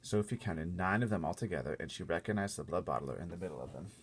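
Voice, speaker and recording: A man with a gravelly, husky voice reading a storybook aloud expressively, with casual asides. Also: sits low, expressive, quick pace. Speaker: storybook reader.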